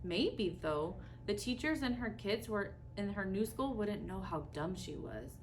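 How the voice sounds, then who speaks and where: A woman reads a children's story aloud over faint background noise. Her voice sits at a middle pitch, and she leans on key words with deliberate, dramatic pauses. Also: animated, low-quality recording.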